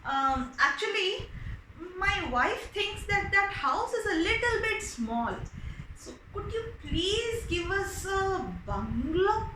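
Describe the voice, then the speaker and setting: A woman's voice: very high voice, very animated, slow, sharp tone, roomy sound, slight background noise, full character voice, poor audio. A woman voicing a meek, humble, henpecked fisherman in a storybook tale, with a placating, polite manner. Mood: sheepish, polite.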